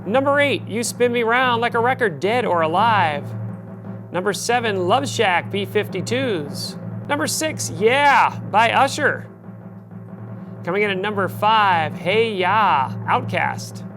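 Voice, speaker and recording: A man's voice: sits high, lively intonation, a little slow, music in the background. A man hosting a TV talk show, introducing guests and reading a countdown list in an upbeat announcer style.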